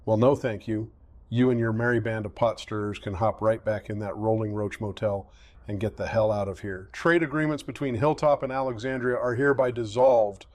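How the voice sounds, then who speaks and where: A man's voice: lowish voice, normal speed, a little mellow, dry recording, lightly acted. A man playing a self-important, cowardly settlement leader in an audio drama, pompous and sarcastic. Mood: scornful, indignant. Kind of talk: full-cast audio drama acting.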